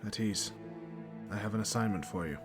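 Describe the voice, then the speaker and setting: A male actor plays a stern but fatherly commander in a fantasy audio drama. His voice is low and his delivery formal and authoritative, with music clearly playing beneath it. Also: fairly quick, lightly acted. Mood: stern, measured. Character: stern fatherly military commander briefing a young squire. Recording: music under the voice.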